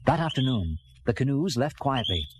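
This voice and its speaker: A man's voice: mid-range voice, moderate pace, background noise, close to an everyday voice. An actor playing an earnest castaway adventurer who tells his story theatrically, in the manner of a dramatized children's read-along. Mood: earnest, reflective. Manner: animated, theatrical delivery. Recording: vintage record with music behind. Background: music.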